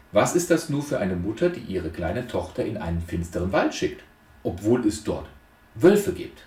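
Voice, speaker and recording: A man's voice: medium pitch, a little mellow. A man giving an explanatory lecture, laying out numbered points emphatically and posing rhetorical questions. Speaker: lecturer on transactional analysis. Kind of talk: explanatory lecture retelling a fairy tale. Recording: echoey room, distant microphone.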